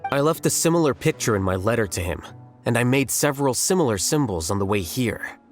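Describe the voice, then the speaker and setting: Man as young male scholar, game character, detached, measured, matter-of-fact, varied intonation, background music. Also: medium pitch, expressive, natural voice. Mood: cool, blunt. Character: aloof, rational young male scholar, coolly blunt. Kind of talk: scripted video game voice acting.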